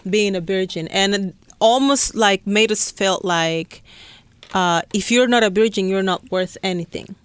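Frank woman guest, smooth clear voice. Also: mid-range voice, a bit bright, no echo. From Dominican Republic. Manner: frank, laughs easily, fillers like "you know" and "like". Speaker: podcast guest. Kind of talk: candid personal story in casual chat.

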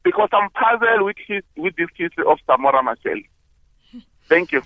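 A man's voice: sits high, lively intonation, a little fast, no room sound. A man calling in to a radio show, talking hesitantly and searching for words. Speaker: caller. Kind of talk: call-in radio talk. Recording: tinny phone line.

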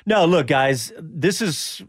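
A man hosts a relaxed sports talk show, sharing opinions and reading out listener comments. His voice sits a little high and the recording is dry and close, with no room sound. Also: slow pace, breathy edge, dry recording. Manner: chatty, reads listener comments. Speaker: radio show host.

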